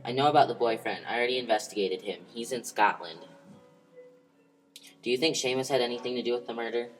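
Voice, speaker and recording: A man's voice: medium pitch, quick pace, faint music behind, natural voice, low-quality recording. A man playing an earnest police detective in an amateur old-time radio mystery. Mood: questioning. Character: male police detective, earnest partner investigating a murder.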